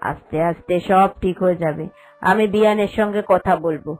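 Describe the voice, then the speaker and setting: A woman's voice: fairly low pitch, moderate pace, darkish tone, natural voice. A woman voicing a protective parent who soothes and reassures a grown daughter in a cartoon story. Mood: consoling. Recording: voice over background music.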